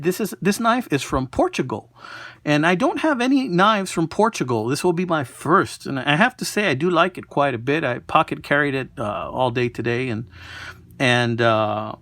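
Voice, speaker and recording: A man's voice: fairly high pitch, fairly bright tone, no room sound, clean studio sound. A man talking casually and unhurriedly, like a collector presenting an item in a homemade video. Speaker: knife collector presenting a find. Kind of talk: casual product showcase.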